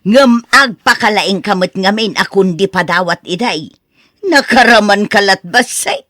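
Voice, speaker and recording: A woman's voice: medium pitch, unhurried, fairly bright tone, dry recording, studio quality, close to an everyday voice. A woman with an Ilocano accent playing a nagging, exasperated wife reproaching her gambler husband in a radio drama. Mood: bitter nagging.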